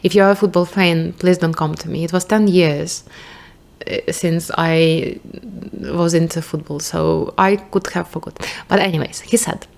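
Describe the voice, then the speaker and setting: A woman's voice: lowish voice, fairly even intonation, measured pace, gravelly, a little mellow. A young woman with a Ukrainian accent, talking casually to camera as a vlogger, thinking aloud in a rambling way with restarts and the odd swear. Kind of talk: casual reflective monologue.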